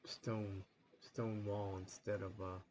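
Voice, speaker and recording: A man with a low, flat voice walks through a train-game session in casual, rambling commentary, stopping often for ums and restarts. He sounds a little sniffly, as if a cold is coming on. A faint hum of room noise sits behind him. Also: takes it slowly. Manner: rambling, relaxed, many fillers and restarts. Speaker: gaming channel host.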